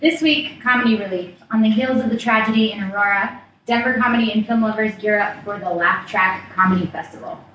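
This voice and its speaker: A woman's voice: mid-range voice, brisk, muffled recording, slight background noise. Chatty woman in a roomy apartment. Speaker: podcast host. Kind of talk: casual chat. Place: small apartment. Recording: roomy, distant home recording.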